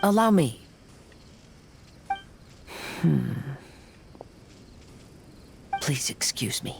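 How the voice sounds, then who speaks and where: A man voicing a calm, courteous young wanderer in a video game dub, with polite, gentle phrasing. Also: on the high side, takes it slowly, vocal fry, noisy background, exaggerated voice.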